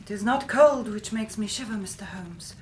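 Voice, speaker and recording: A woman's voice: mid-range voice, moderate pace, slight background noise, close to an everyday voice. An actress playing a frightened, well-bred Victorian woman in her thirties, speaking formally and hesitantly with an English accent in a period radio drama. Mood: fearful. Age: 30s.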